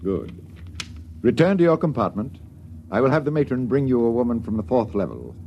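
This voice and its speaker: An actor playing a brisk, officious priest in an old radio drama, intoning ceremoniously. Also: male voice, medium pitch, very animated, vocal fry, highs cut off. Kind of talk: 1950s radio drama acting. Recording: noisy vintage radio recording.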